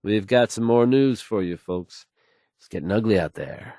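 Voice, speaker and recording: A man's voice: on the low side, animated, normal speed, dark tone, dry recording, studio quality. A man playing a self-assured radio newscaster in a video game, fluent and folksy as he announces the news. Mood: grave, confident. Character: confident, smooth, assured male radio newscaster.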